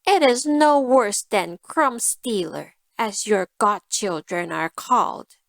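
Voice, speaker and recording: A woman's voice: medium pitch, lively intonation, takes it slowly, gravelly, no echo. A woman voicing a sly, self-serving female cat in a storybook, with a cool, persuasive manner. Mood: cool, dismissive.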